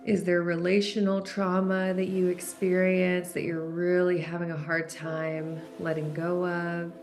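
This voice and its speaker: A woman leading a guided meditation in a gentle, unhurried way, leaving long pauses between instructions. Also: lowish voice. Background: background music.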